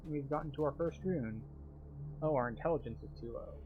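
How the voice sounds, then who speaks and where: A man's voice: a little high, fairly quick, music in the background. Man guiding a game walkthrough, slightly muffled. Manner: explanatory, guiding. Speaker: game tour guide. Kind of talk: scripted walkthrough narration.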